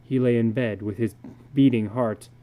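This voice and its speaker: A man giving a measured, deliberate dramatic reading of a literary story as its narrator. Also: mid-range voice, steady tone, warm tone.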